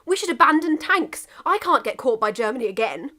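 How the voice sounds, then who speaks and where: A woman's voice: high voice, sing-song, brisk, pushed and bright, voice pushed up, heavily acted. A woman voicing a frantic, exasperated drunk Englishman in a story reading, speaking emphatically. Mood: alarmed.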